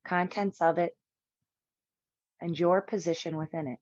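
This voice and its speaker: A woman with a fairly low voice leads a guided meditation as a yoga instructor. She speaks in soothing, measured phrases separated by long pauses. The recording is somewhat dull and quite dry, with little room sound. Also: fairly even intonation, darkish tone, no room sound.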